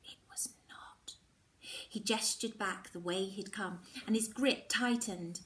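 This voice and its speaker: A woman teacher reading a novel aloud, expressive and slipping into whispers at tense moments. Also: mid-range voice, slow, far from the mic. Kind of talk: storybook reading.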